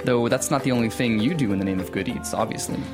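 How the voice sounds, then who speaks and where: A woman's voice: extremely low pitch, fast, music in the background. A woman performing a scripted, teasing radio promo skit. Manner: breezy, teasing. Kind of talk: scripted comedic promo dialogue.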